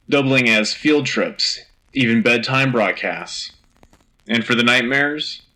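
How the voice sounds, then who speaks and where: Man playing a forceful wartime radio news anchor. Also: medium pitch, low-quality recording. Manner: clipped, dramatic, solemn. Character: Joe Turner, earnest propaganda-era war news anchor. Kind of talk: scripted wartime news broadcast acting.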